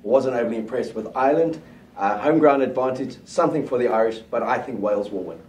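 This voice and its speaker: A middle-aged rugby pundit talking in a small studio, confident and steady as he lays out his predictions. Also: medium pitch, fast talker, recorded from a distance, a little hiss or hum. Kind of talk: casual rugby chat. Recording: roomy studio sound, mic set back.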